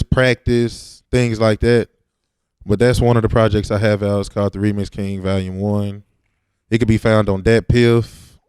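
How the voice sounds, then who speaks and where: Young man, lowish smooth voice, dry close sound, informal slangy interview answers. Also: a little mellow, no echo. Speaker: rapper being interviewed. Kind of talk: casual interview talk.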